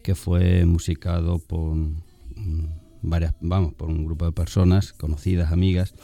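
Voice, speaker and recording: Deep, very mellow-voiced Spanish priest. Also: male voice, flat delivery, measured pace, gravelly. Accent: Castilian Spanish, from Spain. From Albacete, La Mancha, Spain. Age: 50s. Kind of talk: reflective conversational interview answers.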